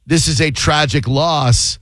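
A man's voice: fairly high pitch, steady tone, fairly bright tone, dry recording. A male radio host taking calls and ranting bluntly, often mocking his callers. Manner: blunt, mocking, quick to rant. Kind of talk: call-in radio banter.

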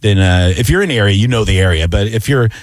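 A man's voice: lowish voice, lively intonation, fast, no room sound. A man from Tampa hosting a casual radio show, talking in a loose, joking way with plenty of fillers and restarts. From Tampa, Florida. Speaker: radio host. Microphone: studio microphone.

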